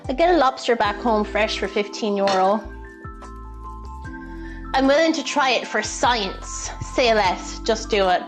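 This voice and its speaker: An Irish woman talks in a fairly high voice, chatty and playful. She speaks off the cuff as a streamer would to her viewers, with some music playing behind her. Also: expressive, a little slow. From Ireland. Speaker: live streamer. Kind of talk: casual live cooking stream chat. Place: indoors, in a kitchen.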